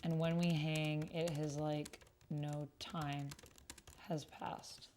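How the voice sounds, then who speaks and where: A woman's voice: sits low, level tone, slow, darkish tone, slight background noise. A woman streamer chatting casually and thinking aloud as she hosts an online roleplaying game, sometimes muttering to herself. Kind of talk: casual livestream chat while playing.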